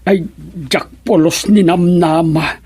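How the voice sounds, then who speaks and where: A woman acting a flustered, embarrassed village councilor in an Ilocano radio comedy drama, husky and stammering. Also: lowish voice, fairly even intonation, dark tone. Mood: ashamed. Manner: halting, stammering with exclamations.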